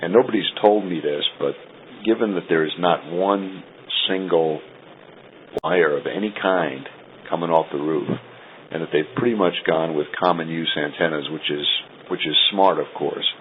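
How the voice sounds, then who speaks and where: An extremely deep-voiced man chats over a two-way link in a loose, halting way. His voice comes through thin and phone-like, with a faint hiss underneath. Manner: chatty, halting, laughs while talking. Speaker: podcast host on a radio link call. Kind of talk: casual two-way conversation. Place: Rome. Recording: tinny internet radio link with faint hiss.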